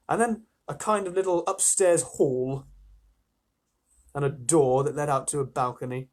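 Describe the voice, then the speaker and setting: Husky-voiced man reading a storybook. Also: fairly high pitch. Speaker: storyteller reading a children's novel on a livestream. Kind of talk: expressive storybook reading with chatty asides.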